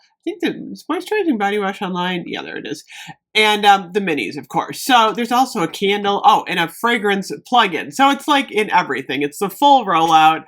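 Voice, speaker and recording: A woman's voice: on the low side, fairly bright tone. Chatty woman reviewing products casually. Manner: chatty, emphatic, thinking aloud. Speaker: beauty product review vlogger.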